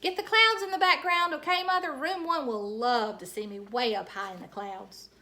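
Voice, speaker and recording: A woman voicing an excitable, loud, cheeky first-grade girl in a storybook, exclaiming in childish grammar. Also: sits high, normal speed, voice pushed up. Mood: bossy, excited.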